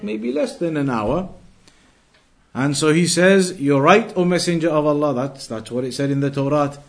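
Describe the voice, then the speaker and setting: A man with a slightly high voice teaches a religious lesson. He explains in a didactic way, repeating and rephrasing key phrases so each point lands. He moves between quoted texts and plain explanation. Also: fairly bright tone. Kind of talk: explanatory Islamic lesson, translating quoted Arabic into English.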